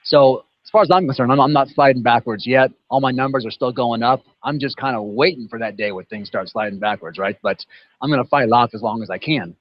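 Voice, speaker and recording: A man's voice: medium pitch, a little fast. A man in his fifties answering a panel question casually, often adding "you know" and "right". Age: 50s. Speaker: athlete guest. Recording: dull dry audio.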